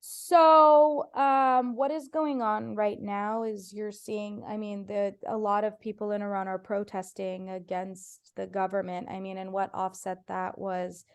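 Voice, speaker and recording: A woman's voice: medium pitch, flat delivery, a little slow, hardly any pauses, smooth. A woman comedian from Ohio, whose first language was Farsi, chatting casually as a podcast guest, telling stories with playful voiced quotes. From United States (Ohio).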